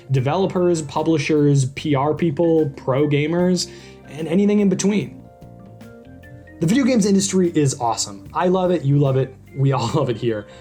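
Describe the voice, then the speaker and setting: Upbeat higher-pitched man hosting a podcast. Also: dark tone. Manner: informal, upbeat, enthusiastic. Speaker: podcast host. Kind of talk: casual scripted podcast introduction to listeners.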